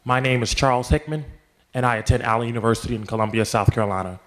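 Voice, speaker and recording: A young man from Philadelphia, a student leader, reading a prepared statement in a measured, statistic-heavy delivery. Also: medium pitch, steady tone. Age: young adult.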